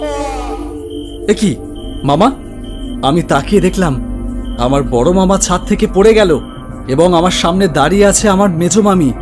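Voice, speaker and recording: A man narrating a scripted ghost story in the first person, with an expressive, suspenseful delivery. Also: on the high side. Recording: music bed under the voice. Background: background music.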